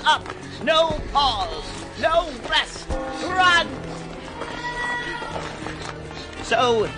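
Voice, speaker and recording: An actor playing an excitable, gleefully eerie ringleader in an audio drama, exclaiming and urging in a declamatory way. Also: male voice, high-pitched. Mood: rousing, commanding.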